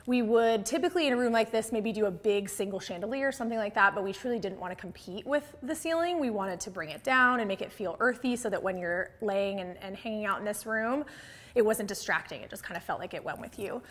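A woman's voice: mid-range voice, expressive, quick pace. An American woman in her thirties giving a casual, enthusiastic home tour as an interior designer, speaking conversationally into a lapel mic. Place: large open-plan house interior with hard floors. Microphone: clip-on lapel mic.

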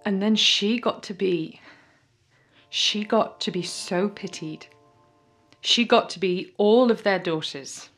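An actress playing a resentful, wistful young sister in an audio play monologue, measured and confiding. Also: female voice, medium pitch, fairly bright tone, soft background music. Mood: bitter.

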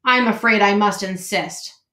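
Woman doing a gruff, stubborn beaver. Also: mid-range voice, measured pace, sharp tone. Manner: gruff, grumbling. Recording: roomy echo.

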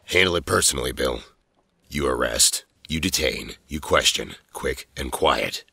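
A man's voice: a bass voice, animated, a little slow, vocal fry, sharp tone, slightly rough, no echo, studio quality, exaggerated voice. A man playing a cold, commanding president in an audio drama, clipped and authoritative. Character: cold, commanding male president ordering arrests.

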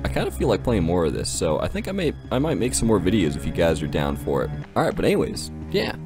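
A man's voice: sits low, wildly swooping pitch, quick pace, music in the background. A man giving casual, joking gameplay commentary in a slangy, sweary way, as host of a gaming video.

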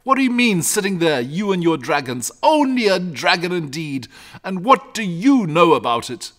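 A man's voice: high-pitched, lively intonation, measured pace, voice pushed up. A man voicing a frightened, fretful country shepherd in a storybook, rambling and agitated in a rustic way. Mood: indignant. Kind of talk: storybook character reading.

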